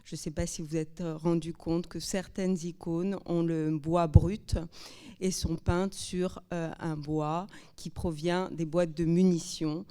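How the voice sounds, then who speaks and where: Slow, low mellow woman's voice. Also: level tone, smooth. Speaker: panel guest. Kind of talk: reflective panel talk.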